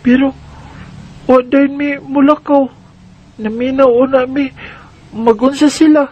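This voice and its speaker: A man's voice: extremely high pitch, fairly even intonation, measured pace, dull audio. A man playing an eleven-year-old boy who narrates his own story in a radio drama, earnest and observant. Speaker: narrator and main character.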